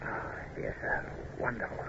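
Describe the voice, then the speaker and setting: An actor playing a weak, wounded young naval officer, grateful and dutiful, in old-time radio drama style. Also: male voice, measured pace, sharp tone. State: weak, shivering. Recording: muffled, noisy old radio recording.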